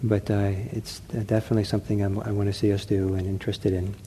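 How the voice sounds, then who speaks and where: Soft-spoken, mellow male meditation teacher. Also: fairly low pitch, level tone, a little hiss or hum. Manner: gentle, unhurried, halting with many fillers and restarts. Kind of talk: dharma talk. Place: meditation center hall.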